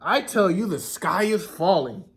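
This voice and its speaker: A man's voice: sits high, big pitch swings, a little slow, fairly bright tone, exaggerated voice. A man voicing an anxious, insistent hen who frets and exclaims, in a children's storybook read-aloud. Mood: alarmed, insistent. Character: anxious, worrying hen, female, insistent and alarmed.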